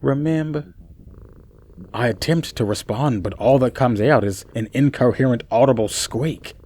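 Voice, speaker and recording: A man playing a chatty, bewildered narrator of an eerie first-person radio play, theatrical, with asides and dramatic pauses. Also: medium pitch, dark tone, a little hiss or hum, natural voice. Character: chatty, bewildered man telling his own eerie tale.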